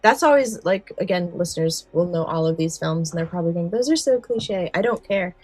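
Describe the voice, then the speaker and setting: Low-pitched woman in casual podcast chat. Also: animated, brisk, a little mellow, faint music behind. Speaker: podcast co-host. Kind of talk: casual chat about scary stories.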